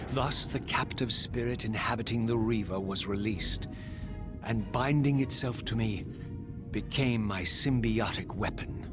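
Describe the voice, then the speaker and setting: Man voicing a low, brooding undead wraith narrator. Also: close to an everyday voice. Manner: solemn, eloquent, measured delivery. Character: tormented male wraith narrating his tale, grave and brooding. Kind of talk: dramatic scripted game narration. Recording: loud music under the voice. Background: loud game score.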